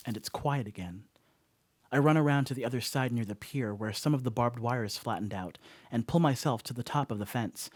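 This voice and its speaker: Man acting a flustered stoner dog sitter, medium-pitched male voice, rambling, wry asides, conversational radio play storytelling. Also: darkish tone, natural voice. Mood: tense, wry. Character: rattled, rambling stoner dog sitter confessing a strange mishap.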